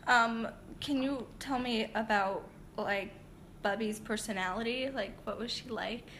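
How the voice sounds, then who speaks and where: A woman's voice: a little high, a little slow, fairly bright tone, slight background noise. A young woman interviewing a relative, asking questions in a casual way with frequent "like". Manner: casual, uses "like" often. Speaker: interviewer, family member.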